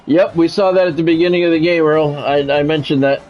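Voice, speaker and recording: A man's voice: on the high side, fairly even intonation, brisk, lo-fi sound, soft background music. A middle-aged American man doing play-by-play of a football video game on a livestream, mixing polished, clipped announcer calls with casual chat to viewers.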